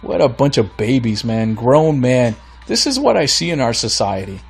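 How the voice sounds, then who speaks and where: A man's voice: mid-range voice, very animated, normal speed, vocal fry, breathy edge, soft background music. A man from Florida hosting his own podcast, ranting bluntly and with exasperation while he is losing his voice. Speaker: podcast host. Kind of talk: solo podcast rant.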